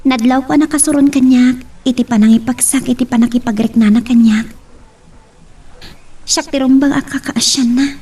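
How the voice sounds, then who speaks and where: A sad, neglected young daughter in a radio drama. Her voice is high, soft and dark with a breathy husk, heard at some distance from the microphone. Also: steady tone, moderate pace, long pauses. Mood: sorrowful.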